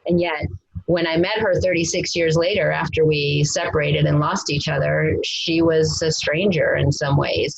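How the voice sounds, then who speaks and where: A woman's voice: sits low, gravelly, husky, dry recording, lo-fi sound. A woman answering interview questions as a guest, reflective and searching for her words. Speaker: interview guest, adoption professional.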